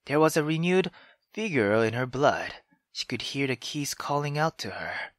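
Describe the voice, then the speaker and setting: A let's player narrating a visual novel aloud in an expressive storytelling manner. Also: mid-range voice, moderate pace, dry recording, clean studio sound. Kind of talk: dramatic visual novel narration with brief reactions.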